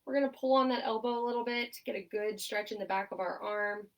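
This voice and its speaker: A woman's voice: a little high, fast, distant mic. Nasal young woman leading exercise countdowns. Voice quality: nasal, stuffed up. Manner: upbeat, encouraging, counts down steadily. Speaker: occupational therapist leading a seated exercise class. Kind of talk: instructional exercise cueing with countdowns.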